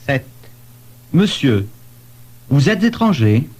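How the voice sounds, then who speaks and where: A man playing a polite questioner in a scripted language-lesson dialogue, articulating deliberately. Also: medium pitch, big pitch swings, a little mellow. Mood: polite, inquiring.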